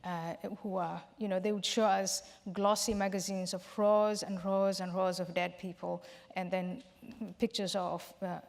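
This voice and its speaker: A woman from Somalia answers audience questions at a public event, speaking in a measured, explanatory way and sometimes restarting a phrase. Her voice sits in a middle register and carries a long hall reverb. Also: medium pitch, little pitch movement, gravelly. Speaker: panel guest. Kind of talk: public Q&A discussion. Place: large hall.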